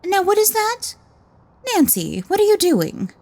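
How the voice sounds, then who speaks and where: A woman's voice: very high-pitched, huge pitch swings, measured pace, darkish tone, heavily acted. An actress playing a proper mother who admonishes her teenage daughter in a radio drama. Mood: scolding. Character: polite, scolding mother of a teenage girl.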